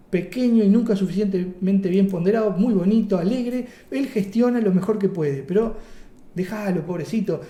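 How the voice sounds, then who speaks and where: A man with a Rioplatense Spanish accent leads a workshop lecture. His voice sits high, yet the tone is very dark and mellow. He teaches in a playful, chatty way, with rhetorical questions and jokes, and the sound rings in an echoey room.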